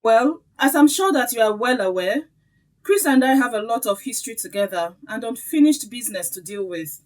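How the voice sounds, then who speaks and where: A woman's voice: fairly high pitch, animated, moderate pace, lightly acted. A woman acting in an audio drama as an insistent, haughty ex-girlfriend, pushy in manner and a little off the microphone. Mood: haughty, defiant. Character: insistent, haughty former girlfriend, female rival. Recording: distant from the microphone.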